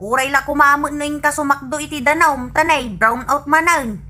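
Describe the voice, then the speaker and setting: A woman playing a high, scolding old wife. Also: fairly even intonation, moderate pace. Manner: sharp, scolding, incredulous. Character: exasperated old wife who scolds her husband. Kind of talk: comedy radio drama acting.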